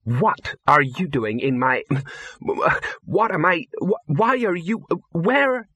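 A man takes the part of a young woman in a storybook. The voice is lifted high and bright. She comes across as haughty, sharp and demanding, disdainful but quick to get flustered. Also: lively intonation, breathy edge, no echo, exaggerated voice. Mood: confused. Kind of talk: audiobook character voice.

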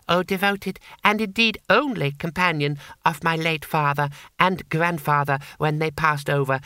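A man's voice: sits high, pushed and bright, clean tone, no room sound, voice pushed up, exaggerated voice. A man voicing an imperious boy emperor who speaks in ornate, mock-courteous phrases with polite menace, as in an audiobook story.

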